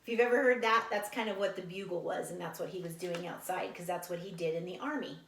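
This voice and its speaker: A woman's voice: on the low side, quick pace, creaky, slight background noise. A woman reads a storybook aloud, breaking off for casual explanations to young listeners. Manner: explains to young listeners, animated sound imitations. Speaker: read-aloud teacher. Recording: echoey room.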